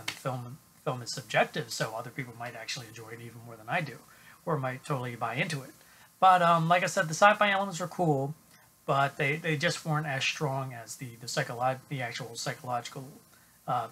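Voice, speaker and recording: A middle-aged man giving an unscripted film review to camera at home, halting with many fillers and restarts. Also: mid-range voice, sharp tone, slight background noise, rough recording. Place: small room at home, indoors.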